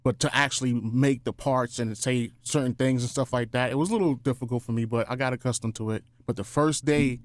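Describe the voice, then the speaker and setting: Man guest with medium-pitched voice, dry sound. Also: fairly even intonation, fairly quick, hardly any pauses, no echo. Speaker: voice actor, interview guest. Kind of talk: casual interview chat.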